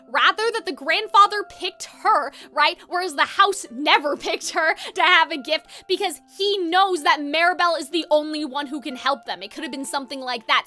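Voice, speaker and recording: A woman's voice: very high-pitched, pushed and bright. A woman giving opinionated, conversational video essay commentary as the host. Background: background music.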